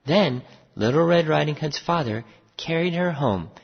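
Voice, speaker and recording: A storyteller reading a scripted fairy tale for English learners in a deliberate, expressive way. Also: medium pitch, vocal fry, slightly rough. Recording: muffled audio with long reverb.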